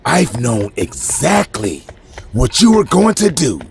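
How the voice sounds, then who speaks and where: A man acts out a smug crime-lord villain in a motion comic, taunting and calculating. The voice is thick with gravel and swings wildly in pitch, theatrical and condescending. There is faint noise behind it. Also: wildly swooping pitch, slow pace, heavily acted. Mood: gloating.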